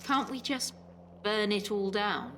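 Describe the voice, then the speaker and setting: Elderly care home resident, headstrong conspiracy believer, mid-pitched, audio drama, noisy recording. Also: female voice, sing-song, slow pace, fairly bright tone, full character voice. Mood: stubborn, anxious. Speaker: voice actor.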